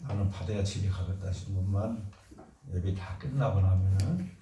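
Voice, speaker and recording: A man, a pastor, leading a fervent formal prayer and giving plain announcements, his voice a bit hoarse from a cold. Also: deep voice, moderate pace, muffled recording, background noise. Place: church sanctuary. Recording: echoey church from a distance.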